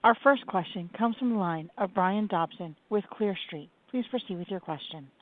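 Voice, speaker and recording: A woman's voice: moderate pace, a little hiss or hum. A woman working as a conference call operator, reading scripted announcements. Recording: narrow phone line, low level.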